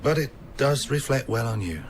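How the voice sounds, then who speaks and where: An actor playing an assured male scientist who speaks in a measured, formal, reassuring way in a radio drama. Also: mid-range voice, lively intonation, slight background noise, close to an everyday voice. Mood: assured, pleased.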